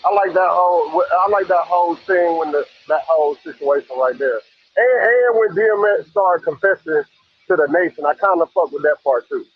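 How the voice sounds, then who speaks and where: A man's voice: on the high side, normal speed, dry recording, muffled recording. A man on a panel, chatting casually about a film. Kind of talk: casual chat.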